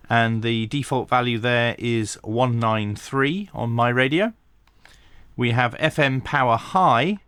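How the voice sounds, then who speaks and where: A man giving a hands-on tech tutorial, talking through each step methodically with pauses and dry asides. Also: mid-range voice, a bit bright, clean tone, no room sound. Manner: methodical, thinking aloud with frequent pauses. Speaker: radio reviewer.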